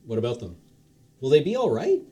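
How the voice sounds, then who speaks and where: A man's voice: medium pitch, huge pitch swings, brisk, dark tone. A man reading the lines of a confused, newly dead man in his late forties, asking hesitant questions. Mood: bewildered.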